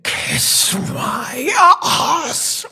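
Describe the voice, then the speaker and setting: A beaten prisoner in a game scene. His voice is wrecked, raspy and creaking, the words come out very slowly through pain, yet bitterly defiant. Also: very high voice, very animated, sharp tone, full character voice. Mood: furious defiance. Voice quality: gravelly, badly hoarse, breathy. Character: defiant, battered captive man spitting curses.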